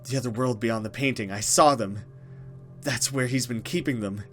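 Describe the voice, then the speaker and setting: Man playing frightened mysterious young woman, halting dramatic speech, horror visual novel, faint music behind. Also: medium pitch, a bit bright, natural voice. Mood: urgent, warning. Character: mysterious frightened young woman, escaped captive, urgent and uneasy.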